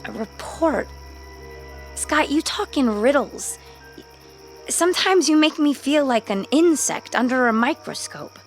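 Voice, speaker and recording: Slow-speaking gentle young woman. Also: high voice, sing-song, soft background music, exaggerated voice. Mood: upset, wary. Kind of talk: radio drama acting.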